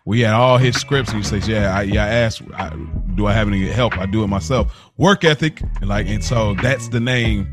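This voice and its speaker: A man co-hosting a podcast in casual chat, reacting in an animated, slangy way. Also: lowish voice, fairly quick, music in the background. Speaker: podcast co-host.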